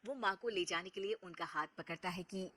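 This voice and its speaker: A woman's voice: fairly low pitch, a little fast, sharp tone, dry recording. A woman narrating a scripted moral story as the storyteller.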